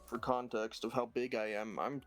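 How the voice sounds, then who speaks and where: A man with a naturally deep voice, a guest on an online talk show, jokey and boastful and quick to laugh. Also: level tone, soft background music. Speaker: guest streamer. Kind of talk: casual interview chat.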